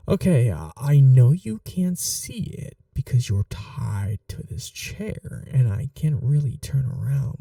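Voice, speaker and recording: A man playing an obsessive, doting kidnapper in an intimate ASMR roleplay, speaking softly and breathily with a halting, coaxing tenderness. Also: mid-range voice, no echo, studio quality, distant mic, full character voice. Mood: flustered, hesitant. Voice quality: soft, breathy, dark. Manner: gentle, halting, coaxing reassurance. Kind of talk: intimate ASMR roleplay, often near whispering.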